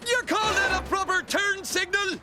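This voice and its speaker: A man's voice: very high-pitched, moderate pace. A man voicing an indignant, blustering policeman in a cartoon, hollering his exclamations. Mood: indignant yelling. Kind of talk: cartoon voice acting. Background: loud cartoon music.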